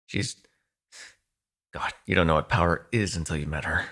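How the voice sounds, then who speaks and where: A man playing a protective, sardonic male vampire in an ASMR audio roleplay, talking intimately and haltingly, with swearing and sighs. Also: deep voice, pushed and bright, heavily acted. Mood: anxious, explaining.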